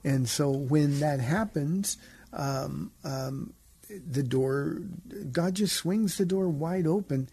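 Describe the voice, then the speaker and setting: An older man, a pastor co-hosting a radio show, talking gently and casually with a soft voice. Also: fairly high pitch, slow. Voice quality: soft-spoken, gravelly. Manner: gentle conversational teaching. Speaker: pastor, co-host.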